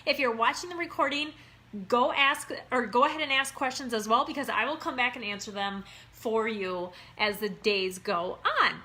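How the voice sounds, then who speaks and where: A woman in her thirties with an Upper Midwest accent talks casually to her viewers as an online wellness coach. Her voice sits a little high and rings bright and forceful. She stays chatty and upbeat and keeps calling her audience "you guys.". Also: normal speed. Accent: Upper Midwest American. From Minnesota.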